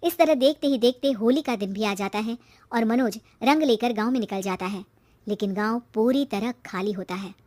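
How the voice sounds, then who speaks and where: A woman narrates a scripted cartoon story. Her voice sits high, and she tells it as a storyteller would. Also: moderate pace, darkish tone.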